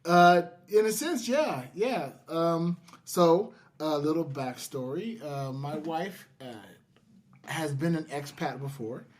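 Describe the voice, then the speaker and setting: A man hosting a podcast, telling stories casually in a halting, self-deprecating way. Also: on the high side. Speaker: podcast host. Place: in-person podcast recording in Las Vegas.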